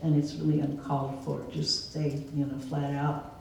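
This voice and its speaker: Woman keynote speaker, warm low-key tone, reflective storyteller; far from the mic. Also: little pitch movement, normal speed, gravelly. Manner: reflective, conversational, storytelling with laughs. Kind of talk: answering an audience question. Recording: echoey hall from far off, faint noise.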